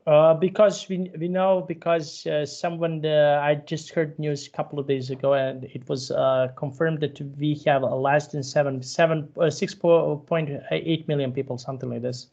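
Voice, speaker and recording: A man in his late thirties, speaking a little high for a man, teaching English patiently. He talks in halting bursts and keeps restarting his sentences. The sound is dull and lo-fi, as on an online call. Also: steady tone, gravelly, darkish tone. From Serbia. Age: 30s. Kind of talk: one-on-one online English lesson.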